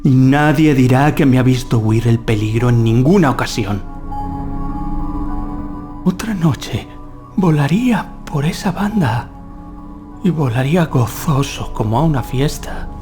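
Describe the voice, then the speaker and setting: A young nobleman hunter acted by a man in a gothic horror audio drama, brave yet haunted by dread. He declaims solemnly at a slow pace. Clear music plays behind him. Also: mid-range voice, expressive, close to an everyday voice. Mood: proud.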